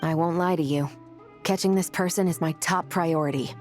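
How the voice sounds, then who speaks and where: A woman's voice: lowish voice, fairly even intonation, moderate pace, darkish tone, soft background music, lightly acted. An actress playing a stern, dutiful female officer in a video game, serious, blunt and businesslike. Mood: resolute. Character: stern, dutiful woman law-enforcement officer. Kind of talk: video game character acting.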